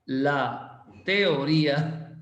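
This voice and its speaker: A male chemistry teacher, giving a casual, playful class and teasing students as he slowly repeats quiz questions. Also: a little high, a bit bright, highs cut off. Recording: roomy echo.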